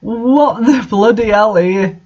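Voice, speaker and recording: A man with a rather high-pitched voice reads a story aloud with sardonic commentary. He sounds exasperated by the material. The recording is somewhat dull and lo-fi. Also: takes it slowly, a little mellow. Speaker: reader and commentator of bad fan fiction. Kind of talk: story reading with mocking commentary.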